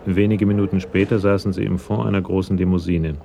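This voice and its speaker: A man's voice: low voice, level tone, fast talker, vocal fry, background noise. A man narrating a crime radio drama from a script in a storytelling role.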